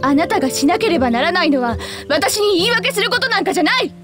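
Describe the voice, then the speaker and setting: A woman's voice: very high-pitched, animated, fast talker, over music. An actress playing a bitter, grieving young girl in a Japanese video game, speaking in cold, accusing, formally polite sentences. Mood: bitter, furious.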